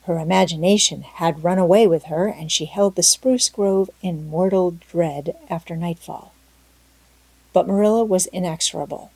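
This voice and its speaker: A woman narrates an audiobook in a mellow, slightly low voice. Her scripted storytelling is expressive, and the sound is very dry, with no room around it. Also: moderate pace, breathy edge, no room sound, rough recording.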